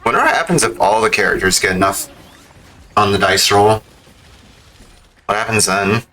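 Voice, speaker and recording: A man's voice: fairly low pitch, animated, moderate pace, sharp tone, rough recording. A man giving casual live gameplay commentary, exclaiming and mildly swearing at the game as he talks to it. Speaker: video game streamer. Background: video game music.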